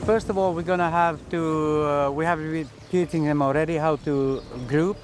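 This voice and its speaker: A man's voice: fairly high pitch, fairly even intonation, moderate pace. A man instructing a group in an outdoor field briefing. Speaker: military instructor. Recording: loud noisy outdoor field recording.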